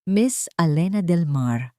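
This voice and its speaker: Calm, formal bank operator voiced by a woman. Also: on the low side, dry recording, studio quality. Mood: calm, official. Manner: measured, formal. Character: calm, professional female bank phone operator.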